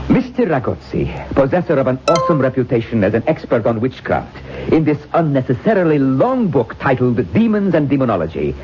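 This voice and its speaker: An actor playing an arrogant, vengeful occult scholar in a radio drama, with theatrical, formal diction. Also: male voice, mid-range voice. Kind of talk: 1970s radio drama acting. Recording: dull old radio recording with faint noise.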